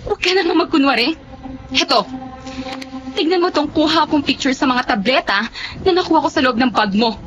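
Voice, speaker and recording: A woman's voice: high voice, expressive, fairly quick, long pauses, a little hiss or hum. An actress playing an upset young woman with firm morals in a radio drama, accusing and emotional. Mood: angry, accusing. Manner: reproachful, emotional delivery. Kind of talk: Tagalog radio drama acting. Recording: dull, lo-fi radio audio.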